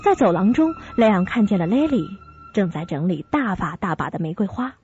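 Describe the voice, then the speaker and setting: A woman narrates a scripted radio drama. Her voice is medium in pitch. The recording is somewhat dull, with clear music underneath. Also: very animated, brisk, mellow. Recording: dull lo-fi sound, music behind.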